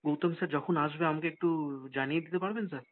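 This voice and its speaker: A man's voice: on the high side, steady tone, quick pace. Deferential salesman on a tinny phone line. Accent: Bengali, West Bengal. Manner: deferential, hesitant. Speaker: sales engineer. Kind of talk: business phone negotiation. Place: recorded phone call. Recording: tinny, narrowband phone call.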